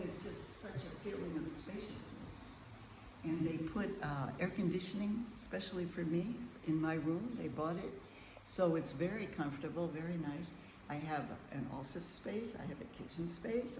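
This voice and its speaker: A man with a slightly raised voice rambles through a casual travel diary, full of ums and pauses. He talks in a large high-ceilinged room that rings with echo, and faint ambience hums behind him. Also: noisy background. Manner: meandering, chatty, frequent ums and pauses. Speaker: travel vlogger.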